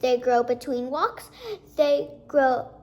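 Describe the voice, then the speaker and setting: A self-important storybook principal, acted by a woman, earnest and fussy. The voice stays high and declares each thought at a slow, deliberate pace. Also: steady tone, warm tone. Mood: pondering.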